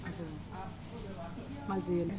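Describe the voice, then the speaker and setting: A middle-aged woman from France speaking German with a French accent, often searching for words in a casual breakfast conversation, with many pauses and fillers. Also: fairly low pitch, level tone, over a noisy crowd. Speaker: guest. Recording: breakfast table with a group chatting in the background.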